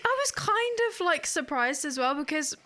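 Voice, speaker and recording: A woman's voice: high-pitched, very animated, normal speed, noisy background. A woman co-hosting a podcast, chatting casually. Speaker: podcast co-host. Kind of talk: casual chat about films and shows.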